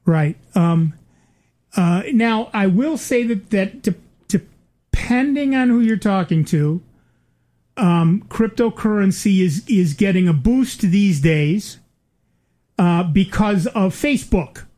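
An older man co-hosting a relaxed conversation. He wanders through his points with plenty of ums and uhs and restarts. He drops in dry, wry asides along the way. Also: high-pitched, takes it slowly. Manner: rambling, many fillers and restarts, wry asides. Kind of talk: casual chat.

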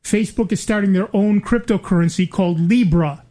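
A man's voice: high-pitched, brisk, warm tone. An older man hosting a casual chat, rambling with many fillers and restarts and dry asides.

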